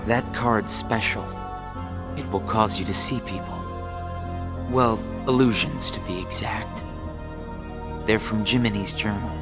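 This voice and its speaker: A man plays an enigmatic, aloof stranger in a game cutscene. The voice sits fairly low and moves slowly, calm and detached. Music runs underneath. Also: close to an everyday voice. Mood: detached, explanatory. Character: calm, cryptic, mysterious hooded man, aloof. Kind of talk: video game cutscene acting.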